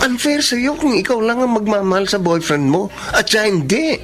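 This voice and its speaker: A man's voice: high voice, lively intonation, normal speed, over music. A man playing a protective, blunt confidant friend in a Filipino radio drama, scolding emphatically but with care. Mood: firm, advising. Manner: emphatic, scolding yet caring.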